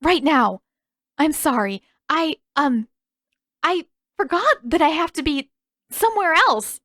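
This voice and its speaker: A woman's voice: high-pitched, expressive, slow, fairly bright tone, dry recording, clean studio sound, pitched above the performer's own voice, heavily acted. A woman voicing a stern, impatient teenage girl who teaches and is easily flustered, in emphatic audiobook dialogue. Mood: flustered, urgent.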